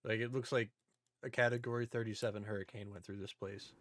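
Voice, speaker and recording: A man's voice: lowish voice, fairly even intonation, fast talker, fairly bright tone. A man hosting a podcast, talking casually about films in an informal, joking recap style. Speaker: podcast host. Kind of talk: casual movie discussion.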